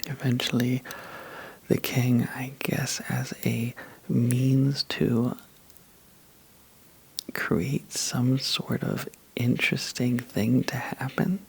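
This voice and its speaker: A man telling a sleepy ASMR bedtime story in a soft, breathy half-whisper, halting and drowsy, with long pauses. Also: mid-range voice, level tone, slight background noise, low-quality recording.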